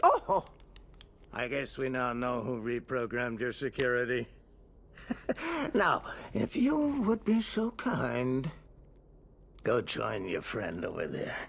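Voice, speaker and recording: A man's voice: medium pitch, sing-song, slow pace, vocal fry, pauses often, fairly bright tone, exaggerated voice. An actor playing a deranged, gleeful older male villain in a video game, with theatrical mocking delivery. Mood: gleeful menace.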